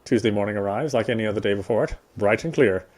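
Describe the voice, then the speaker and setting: A man reading a visual novel's story narration aloud as a gameplay streamer, in a measured way. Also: fairly low pitch, noisy background.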